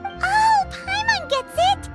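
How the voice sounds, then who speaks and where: A tiny, chirpy game companion talking in a very high, piping voice that bounces all over the place. She refers to herself by name rather than "I," eager and nosy. Game music plays steadily behind her. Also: lively intonation, takes it slowly, full character voice. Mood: curious. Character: tiny floating female companion, chatty, excitable. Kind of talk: game voice acting.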